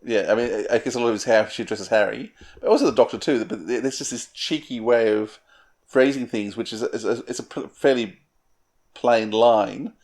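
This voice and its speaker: A man with a medium-pitched voice acts as interviewer. He speaks conversationally, in an analytical way, working out his thoughts as he phrases them. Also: brisk, darkish tone.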